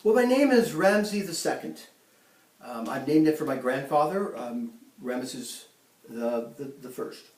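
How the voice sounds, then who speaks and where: A man playing a proud ancient Egyptian pharaoh in a mock interview, speaking haltingly with many ums and restarts, recorded in an echoey room from a distance. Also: medium pitch, expressive, a little mellow, close to an everyday voice. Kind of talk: amateur school-style role-play interview.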